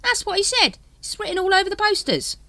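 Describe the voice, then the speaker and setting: A woman voicing a shifty, fast-talking male street hawker with wheedling, conspiratorial sales patter in a storybook. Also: very high-pitched, lively intonation, sharp tone, dry recording, voice pushed up, full character voice.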